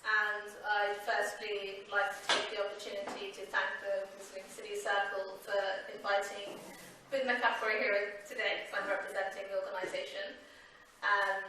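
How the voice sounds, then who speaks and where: A woman giving a talk as the guest speaker, polite and a little halting as she begins. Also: mid-range voice, pushed and bright, a little hiss or hum. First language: English. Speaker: guest speaker, charity director. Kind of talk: public talk. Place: lecture hall. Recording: echoey hall, mic from far off.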